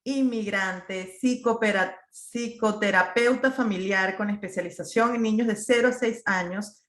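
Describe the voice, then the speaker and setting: A woman's voice: medium pitch, a bit bright. A woman hosting a podcast interview, friendly, with frequent "este" fillers. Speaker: podcast host. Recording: roomy echo.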